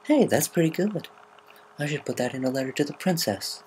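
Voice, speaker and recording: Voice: medium pitch, animated, fairly quick, a little mellow, voice pushed up, natural voice. A reader voicing a brash but tender young pony mother with a casual, slangy way of talking, on a noisy amateur recording. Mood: pleased. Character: brash, tender-hearted young adult mare, new mother.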